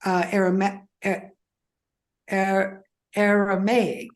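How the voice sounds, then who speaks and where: An older woman, Greek her first language, speaks as an interview guest at a slow, unhurried pace. She feels her way through her thoughts with frequent fillers and restarts, her pitch sitting in an ordinary middle range. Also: little pitch movement. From United States (Michigan). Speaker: guest author. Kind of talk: conversational interview.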